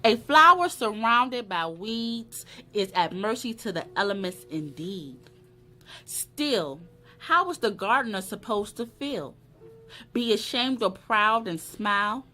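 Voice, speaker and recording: A woman's voice: medium pitch, animated, slow pace, sharp tone. A woman giving a dramatic reading of poetry. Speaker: poetry reader. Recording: live music under the voice. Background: plucked guitar music.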